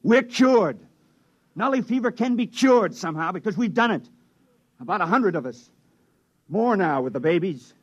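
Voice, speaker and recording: A desperate American man holding out in a camp, in a vintage radio play. His voice is fairly high and swings widely as he pleads in plain, tough words. The recording is dry and close. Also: lively intonation, normal speed, long pauses, no echo, muffled recording. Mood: desperate, insistent. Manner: urgent, colloquial. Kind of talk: mid-century American radio drama acting.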